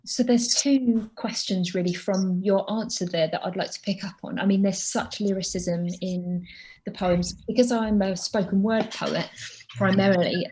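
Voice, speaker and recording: Host: woman, mellow mid-pitched voice, effusive and rambling, video call. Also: fairly even intonation, moderate pace, poor audio. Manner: effusive, rambling, with fillers and restarts. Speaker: interviewer and fellow poet. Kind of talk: conversational interview.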